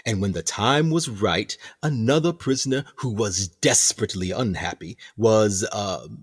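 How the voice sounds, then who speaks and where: An actor playing a smug, menacing ship captain in a radio play, theatrical, deliberate and mock-courteous. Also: male voice, lowish voice, slightly rough. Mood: grave, menacing. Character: sinister male ship captain, smug, menacing warden of punishment.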